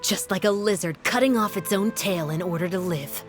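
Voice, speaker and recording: A woman's voice: mid-range voice, normal speed, over music, close to an everyday voice. A woman voicing a stern, righteous female security patrol captain in a video game, authoritative, formal and declamatory. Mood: accusing. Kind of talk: dramatic video game voice acting.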